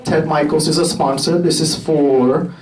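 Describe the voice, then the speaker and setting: A man presenting grants at a community meeting, halting and filled with ums and little chuckles. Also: on the high side, steady tone, slight background noise. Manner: halting, many fillers, chuckles between remarks. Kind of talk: casual presentation remarks. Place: meeting room with an audience. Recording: roomy hall from far off with crowd.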